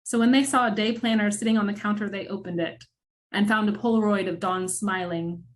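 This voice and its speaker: A woman narrating a scripted film-noir radio drama in measured, dramatic storytelling with wry asides. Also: mid-range voice, steady tone, slight background noise.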